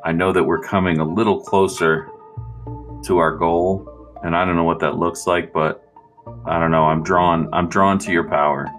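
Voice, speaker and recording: A man's voice: low-pitched, level tone, moderate pace, fairly bright tone, faint music behind. A man playing a devout, brotherly holy warrior in a tabletop game, giving earnest, preachy encouragement. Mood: solemn, fervent. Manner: earnest, preachy pep talk.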